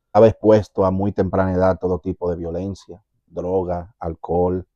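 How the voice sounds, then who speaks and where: A man with a Dominican accent, a pastor sharing his personal testimony in an earnest, reflective way, often pausing and repeating words. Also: low voice, little pitch movement, dark tone, no echo, lo-fi sound. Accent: Dominican Spanish. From Dominican Republic.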